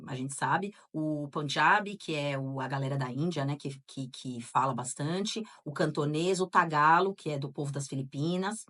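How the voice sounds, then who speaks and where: A woman's voice: deep voice, normal speed, hardly any pauses, fairly bright tone. A Brazilian woman co-hosting a casual podcast, chatting and reading out listener answers, with frequent tag questions. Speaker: podcast co-host.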